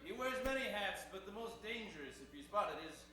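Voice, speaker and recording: A man's voice: high voice, lively intonation, fairly quick, pushed and bright. A man playing a crooked, blustering, pompous power plant boss in an improvised old-time radio play. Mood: scheming. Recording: echoey live stage, distant mic, music behind.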